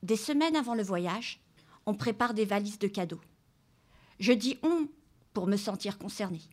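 A woman reading a first-person literary excerpt aloud in a plain, steady voice at a library event. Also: medium pitch, normal speed. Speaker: reader at a book club radio show. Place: public library.

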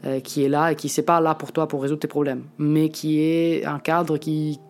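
A man in his late twenties from Italy, talking frankly and reflectively in an interview and often pausing to find his words. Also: on the high side, little pitch movement, faint music behind. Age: late 20s. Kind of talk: candid personal testimony.

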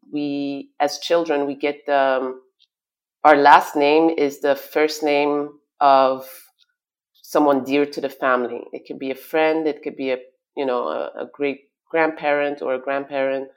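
A woman raised in Belgium, guest in an interview, talking about herself with many fillers and restarts. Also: extremely low pitch. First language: French. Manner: hesitant, many fillers and restarts, laughs often. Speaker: guest, stylist. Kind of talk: conversational interview.

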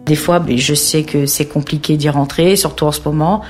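A woman in her thirties who grew up in French Guiana, speaking hesitantly about her life with frequent pauses and fillers. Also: deep voice, fairly even intonation, darkish tone, faint music behind. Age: 30s. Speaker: interviewee. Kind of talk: reflective personal testimony.

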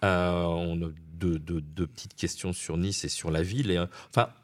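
A man's voice: low-pitched, steady tone, moderate pace. A man interviewing a guest, asking casual, teasing questions.

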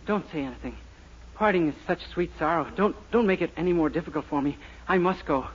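A high-pitched, earnest young fellow who is cheerfully dim and guileless. The style is 1940s radio comedy, with a muffled, hissy sound. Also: male voice, a little fast, breathy edge. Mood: solemn, naive. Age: young adult. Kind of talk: 1940s radio sitcom acting. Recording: muffled, noisy old radio broadcast.

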